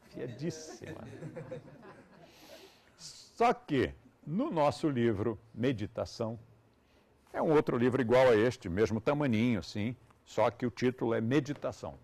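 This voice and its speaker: Lively older Brazilian man lecturing. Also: medium pitch, normal speed, creaky. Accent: Brazilian Portuguese. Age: 70s. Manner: conversational, ironic asides. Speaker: yoga teacher. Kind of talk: live lecture to students.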